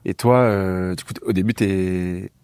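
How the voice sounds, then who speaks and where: A man in his thirties hosting a casual interview, relaxed and thinking aloud as he talks. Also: deep voice, unhurried, smooth, no echo. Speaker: host. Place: small indoor studio with couch. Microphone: lapel mic.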